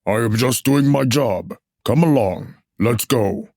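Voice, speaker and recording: A man's voice: medium pitch, takes it slowly, a little mellow, no room sound, clean studio sound. A man playing a stolid, dismissive official in a puppet show, with a matter-of-fact, bureaucratic delivery. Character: stolid, dismissive male official collecting banned books. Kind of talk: theatrical puppet show dialogue.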